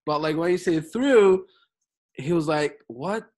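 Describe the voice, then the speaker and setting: A man's voice: on the high side, measured pace, darkish tone. A man from Turkey with a Turkish accent, speaking English as a second language, chatting animatedly as a basketball trainer asking questions. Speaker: basketball skills trainer, interviewer. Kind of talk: casual conversation.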